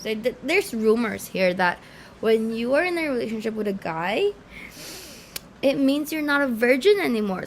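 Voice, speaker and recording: Rambling, self-mocking woman with vocal fry. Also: on the high side, slight background noise. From Philippines. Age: 20s. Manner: chatty, distractible, self-mocking, filler-heavy. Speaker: vlogger. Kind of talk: casual rambling chat to camera. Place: room in the Philippines.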